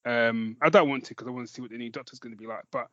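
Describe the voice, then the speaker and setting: A man chats casually as a podcast co-host in a medium-pitched voice. He is jokey, self-mocking and happy to swear. The sound is dry and close, a little muffled. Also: fairly even intonation, rapid-fire, fairly bright tone, no room sound. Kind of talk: casual chat, recommending audio dramas.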